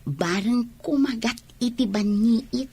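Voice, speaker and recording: A woman's voice: fairly high pitch, takes it slowly, dark tone, breathy edge, heavily acted. An actress with an Ilocano Filipino accent playing a cunning, pert young con-woman in a radio drama. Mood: sly, unrepentant. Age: young adult.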